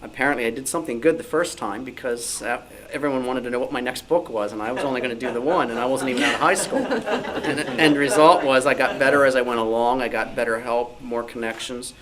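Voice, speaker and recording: A man's voice: mid-range voice, fast, a crowd in the background. A man giving an informal, self-deprecating, anecdotal talk as a researcher and author at a club meeting. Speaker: old-time radio researcher and author. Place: club meeting room, indoors.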